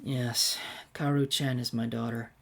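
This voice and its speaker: A woman voicing a calm, dignified undead warrior lord in a fan fiction reading, speaking in a quiet, measured, terse way. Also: extremely low pitch, vocal fry, pitched below the performer's own voice, exaggerated voice. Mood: quiet, remorseful. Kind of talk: fan fiction character dialogue.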